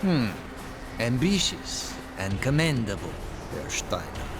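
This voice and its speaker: Curt, condescending Soviet officer. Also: male voice, mid-range voice, very animated, slow pace. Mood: sceptical, smug. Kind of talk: scripted game dialogue. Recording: loud music under the voice.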